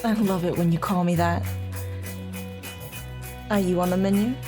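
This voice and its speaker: A woman's voice: lowish voice, steady tone, moderate pace, natural voice. A woman playing a dreamy, adoring young newlywed wife in a romantic audio drama, tender and playful. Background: music.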